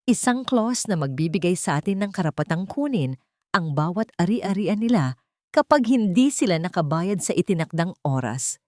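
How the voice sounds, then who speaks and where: Woman reading as icy filipino rich girl out for revenge, bossy, low voice, dry sound. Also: sing-song, moderate pace, a little mellow, no echo. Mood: commanding. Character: cold, commanding young heiress plotting revenge.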